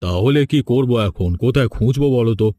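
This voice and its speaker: A man's voice: mid-range voice, expressive, a little fast, warm tone, no room sound, lightly acted. A man voicing a plain-spoken, fretful and scolding village man in a horror cartoon. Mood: impatient.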